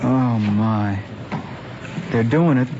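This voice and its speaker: Noisy old radio, earnest American teenager. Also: male voice, medium pitch, extremely slow, dark tone. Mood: rueful. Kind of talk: 1950s radio drama acting. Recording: muffled old radio recording, noisy background.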